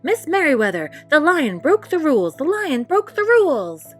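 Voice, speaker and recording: A woman's voice: sits high, sing-song, moderate pace, faint music behind, pitched above the performer's own voice. A woman voicing a fussy, officious male library clerk from a storybook who is indignant about rules. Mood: agitated, tattling.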